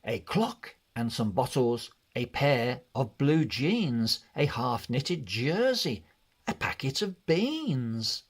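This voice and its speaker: A man narrating a children's read-along storybook in an expressive, deliberate way. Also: mid-range voice, husky. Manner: expressive, deliberate, measured delivery.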